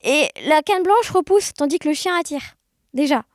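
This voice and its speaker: A woman talks casually as an interview guest in a very high voice. She sounds cheerful and smiling, and the recording is close and dry. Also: normal speed, no echo. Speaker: podcast guest.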